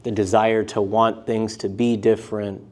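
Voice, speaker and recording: A man teaching a live yoga and meditation class, speaking gently in short, unhurried phrases with pauses between them. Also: fairly low pitch, level tone. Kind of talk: guided meditation class instruction.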